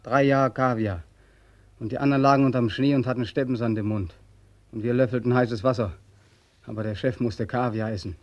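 An actor playing a desperate, bitter young soldier back from the war in a German radio drama, anguished and intense. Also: male voice, medium pitch, steady tone, fairly quick, darkish tone, muffled recording, natural voice. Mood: bitter, sardonic.

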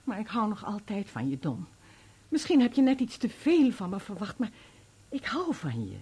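An actress playing a remorseful, unfaithful wife in a Dutch radio play, speaking earnestly and persuasively. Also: female voice, mid-range voice, big pitch swings, normal speed, dark tone, slightly rough. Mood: urgent pleading. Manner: earnest, emotional, persuasive pleading. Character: unfaithful, remorseful young wife, pleading and resolute.

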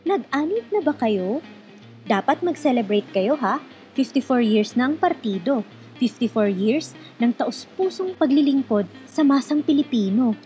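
A woman's voice: sits high, expressive, unhurried, mellow, faint music behind. A woman hosting a scripted radio show, speaking to listeners directly in an exhortative, conversational way. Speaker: radio program host.

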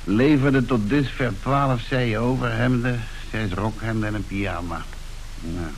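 A man's voice: mid-range voice, measured pace, lightly acted, poor audio. A man acting in a radio play as a seedy, cynical henchman and former tax official, dry and matter-of-fact. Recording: hissy old radio recording.

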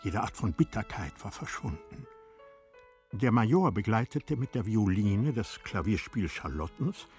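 A man narrating a tragic classic story in a radio play, in a solemn, measured, dramatic manner. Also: on the low side, big pitch swings, darkish tone, soft background music.

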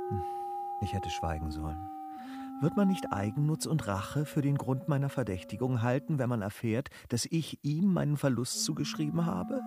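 An actor playing a scrupulous, formally spoken gentleman traveller in a classical German comedy for radio, hesitant and deliberating. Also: medium pitch. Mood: uneasy, pondering. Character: cautious, scrupulous gentleman traveller of noble manners.

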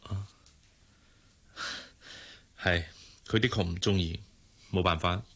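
An actor playing a courteous, hardworking general manager in a radio drama, measured and polite, with a Hong Kong Cantonese accent. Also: male voice, deep voice, steady tone, a bit bright, husky, full character voice. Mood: businesslike. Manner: measured, polite, familiar with subordinate.

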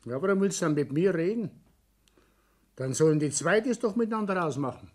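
An actor playing a stubborn, grumpy business owner, curt and gruff, in a 1960s German radio play. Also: male voice, fairly high pitch, expressive, a little fast. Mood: defensive.

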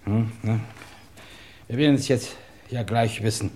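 An actor playing a brisk, official chief inspector in a 1950s German radio crime play, speaking emphatically. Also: male voice, on the low side, darkish tone, roomy sound, slight background noise, close to an everyday voice. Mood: official, reproving.

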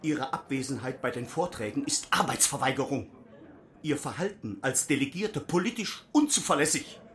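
An actor playing a jealous, strict boss in a radio drama, delivering an agitated official reprimand. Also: male voice, medium pitch, expressive, moderate pace, fairly bright tone, soft background music, lightly acted. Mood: angry, officious. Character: jealous, strict male East German delegation boss.

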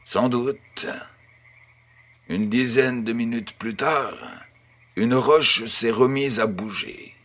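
A man playing a kindly grandfather who tells a bedtime tale in a measured, gentle, husky voice. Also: medium pitch. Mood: storytelling, wondering. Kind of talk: scripted audio storytelling.

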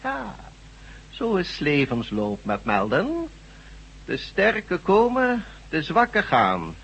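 An actor in a radio drama playing a cold, condescending, menacing regime doctor who speaks deliberately. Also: male voice, sits high, huge pitch swings, creaky, fairly bright tone, a little hiss or hum, heavily acted, rough recording.

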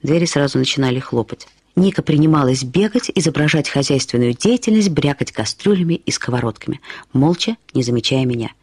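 A woman reading a literary short story as narrator, with expressive, reflective delivery. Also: rumbling low, fairly quick, husky, no echo.

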